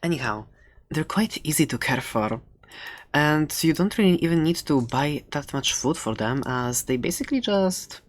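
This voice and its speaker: A performer voicing a chatty, excitable dragon-egg shopkeeper and adventurer in a soft-spoken ASMR roleplay, playful and rambling with light sarcasm. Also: medium pitch, normal speed, lightly acted. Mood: playful, sarcastic.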